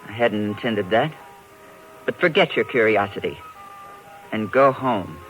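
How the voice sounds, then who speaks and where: A performer playing a gruff, guarded bearded lady of the carnival in a 1950s radio drama. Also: mid-range voice, animated, a little slow, soft background music, natural voice, low-quality recording. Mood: stern, warning. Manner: terse, commanding.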